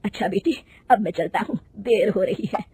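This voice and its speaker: A woman voicing a kindly old male accountant who talks slowly and fatherly in a husky voice. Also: mid-range voice, dry recording. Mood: worried. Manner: slow, fatherly, loud.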